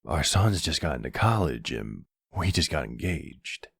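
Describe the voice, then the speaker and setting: An actor playing a tender, devoted older mafia boss boyfriend in an intimate ASMR roleplay, speaking softly and gently with a husky voice. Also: male voice, rumbling low, big pitch swings, no echo, studio quality, full character voice. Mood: affectionate, relaxed. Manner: gentle, slow, affectionate.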